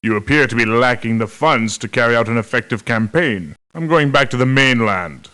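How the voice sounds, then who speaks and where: An actor playing a dignified, proud doctor turned mercenary in a video game, speaking in formal, stern phrases. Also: male voice, mid-range voice, animated, bright, forceful tone, clean tone. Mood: stern, reproachful. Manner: formal, measured wording, reproachful. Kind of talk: video game voice acting, short scripted lines.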